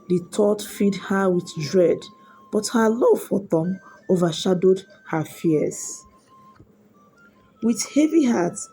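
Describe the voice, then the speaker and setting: A woman narrating a scripted folktale in a measured, deliberate way. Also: fairly low pitch, warm tone, low-quality recording. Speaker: storyteller. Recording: music behind the voice. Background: background music.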